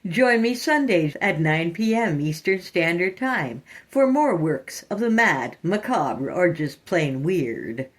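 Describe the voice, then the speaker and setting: A woman's voice: fairly low pitch, animated, slow pace, hardly any pauses. A woman hosting a horror fiction podcast with theatrical scripted delivery.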